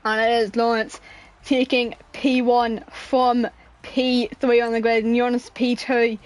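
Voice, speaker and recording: A woman giving excited sim racing commentary while losing her voice. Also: a little high, low-quality recording. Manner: excitable, laughs at herself. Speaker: race commentator.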